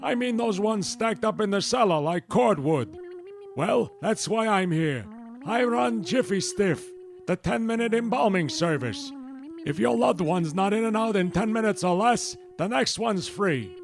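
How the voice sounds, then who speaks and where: A man voicing a sleazy, fast-talking male mortician in a comic radio commercial, with pushy salesman patter. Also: sits high, lively intonation, creaky, full character voice. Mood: salesy.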